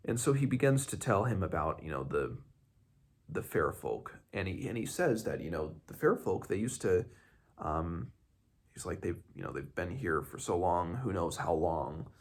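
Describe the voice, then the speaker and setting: A man with a very low, gravelly voice talks through a book in a casual read-along style. He hosts informally, often stopping to search for the right word and correcting himself as he goes. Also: big pitch swings. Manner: halting, searching for words, self-correcting. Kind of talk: casual book discussion with some read-aloud passages.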